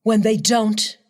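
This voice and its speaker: A woman's voice: fairly high pitch, husky, full character voice. An actress playing a curious woman reporter in a radio play, breathless and wide-eyed.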